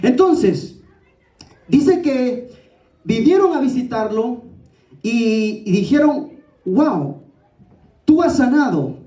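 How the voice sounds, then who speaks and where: A male preacher with a high-pitched but very soft, dark-toned voice. He teaches and exhorts with direct questions to his listeners. The sound is roomy and echoing. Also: sing-song, takes it slowly, pauses often. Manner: didactic and exhorting, with rhetorical questions and direct address. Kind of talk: sermon. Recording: echoey room, distant from the mic.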